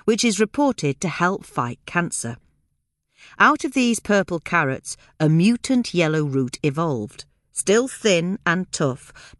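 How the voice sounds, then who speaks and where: A woman's voice: on the low side, no echo, clean studio sound. A woman reading a scripted magazine article as a podcast narrator.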